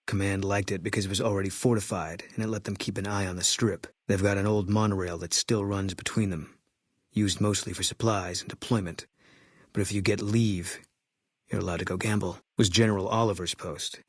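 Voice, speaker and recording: An actor playing a terse, guilt-haunted ex-army sniper in a video game, deadpan and clipped, with a low husky voice. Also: male voice, no room sound, clean studio sound. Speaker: video game companion character.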